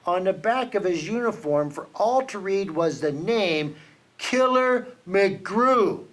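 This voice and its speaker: A man reads a children's storybook aloud at an unhurried pace, his fairly high voice swinging widely as he builds suspense. He leans into dramatic pauses like a storyteller for young listeners. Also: lively intonation. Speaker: children's book reader for a team reading program. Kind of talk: reading a children's picture book aloud.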